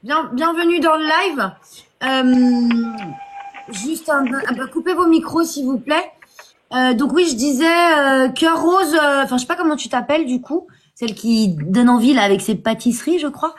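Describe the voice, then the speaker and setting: A woman's voice: sits high, measured pace. A woman hosting a live online debate, hesitant and full of fillers as she brings speakers up. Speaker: live stream host.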